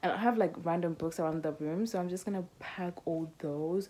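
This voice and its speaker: A woman's voice: on the low side, mellow. A woman in her twenties chatting casually to her vlog in an informal, emphatic way, recorded on a phone at arm's length in a small bedroom. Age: 20s. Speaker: vlogger, university student.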